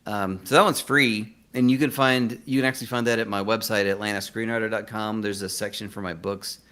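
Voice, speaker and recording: Man, low-pitched voice, informal podcast guest. Self-deprecating, conversational delivery. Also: steady tone, fairly quick, fairly bright tone. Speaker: interview guest, screenwriter and podcaster. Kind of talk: casual chat.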